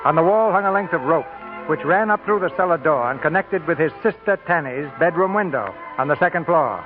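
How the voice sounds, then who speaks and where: An American man hosting and narrating a scripted 1940s radio theater show in a formal, measured way. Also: a little high, expressive, narrow, tinny audio. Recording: thin old radio broadcast, music behind.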